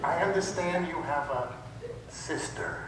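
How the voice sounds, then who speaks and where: Straight man for a radio skit, played by a man, puzzled questioner, unhurried, very echoey distant hall. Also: fairly high pitch, noisy background, close to an everyday voice. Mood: patient, puzzled. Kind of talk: old-time radio comedy acting.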